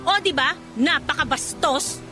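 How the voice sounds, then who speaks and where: A woman's voice: high-pitched, measured pace, over music. An actress playing a frustrated, principled female office worker, complaining emphatically in a Filipino radio drama. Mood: dismayed.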